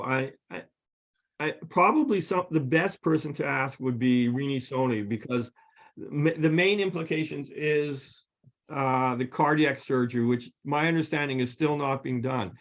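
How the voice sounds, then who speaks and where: A man's voice: fairly high pitch, moderate pace. A physician lecturer answering questions after a talk, hesitant, restarting his sentences often.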